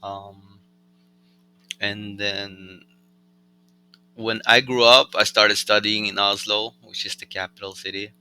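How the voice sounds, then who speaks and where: A young man with a Norwegian accent and a very bright, smooth voice, pitched a little low. He talks in a loose, rambling way, full of fillers, as a guest in a casual interview. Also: slow, pauses often, low-quality recording. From Norway. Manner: relaxed and rambling, many likes and fillers. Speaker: rapper guest.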